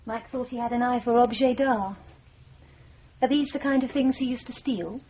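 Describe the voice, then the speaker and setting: Composed, wry young woman, acted, fairly high voice. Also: moderate pace, dark tone, natural voice. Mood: pensive. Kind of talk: naturalistic radio drama acting. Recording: dull, noisy old radio broadcast.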